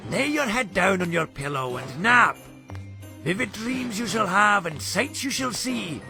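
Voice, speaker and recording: A scheming old wizard villain in a cartoon. He declaims taunting rhymes slowly, in a high, wildly swooping voice, with music behind him. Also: animated, vocal fry, bright, forceful tone, full character voice. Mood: gloating, ominous. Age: older. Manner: theatrical rhyming, gloating. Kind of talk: animated cartoon voice acting.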